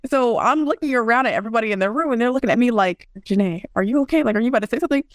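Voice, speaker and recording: Lively young woman, dry close sound. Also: fairly high pitch, very fast, no room sound. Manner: animated storyteller, acts out quotes. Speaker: guest. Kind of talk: casual interview talk.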